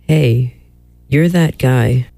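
An actor playing a flirty, exasperated bride in a comic radio play, with a dry manner. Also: male voice, medium pitch, extremely slow, gravelly, mellow, no echo.

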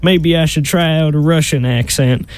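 A man's voice: a little high, moderate pace, a little mellow, natural voice. An actor playing a lovesick, folksy Southern man in a hammy comic radio play. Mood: plaintive, folksy.

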